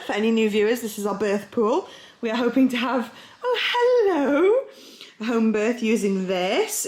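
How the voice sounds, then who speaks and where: A woman's voice: on the high side, darkish tone. A pregnant young woman chatting casually and playfully as she vlogs at home, laughing easily. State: heavily pregnant. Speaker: vlogger. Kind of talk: casual home vlog chat.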